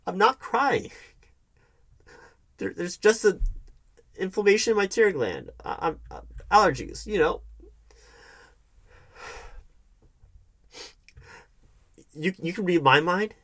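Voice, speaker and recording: A man playing a defensive, wounded hiring manager arguing with his ex in a conversational audio roleplay, halting and often throwing questions back. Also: sits high, very animated, a bit bright, full character voice. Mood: hurt, flustered. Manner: defensive, halting, repeats the other's accusations as questions. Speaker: roleplay performer. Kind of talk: one-sided audio roleplay, conversational acting.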